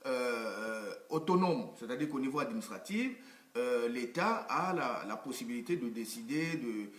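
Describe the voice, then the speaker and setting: A man's voice: medium pitch, animated, normal speed. A man from the Pool region of Congo, arguing his point insistently as an interview guest. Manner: insistent, grows emphatic and laughing when challenged. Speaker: association member, guest. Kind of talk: debate-style interview. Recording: echoey room.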